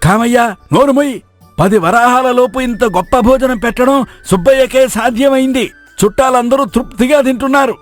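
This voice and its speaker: A man's voice: very high-pitched, normal speed, soft background music. A man playing a fussy, respectful village wedding host in an acted moral story. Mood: indignant. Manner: fussy, deferential.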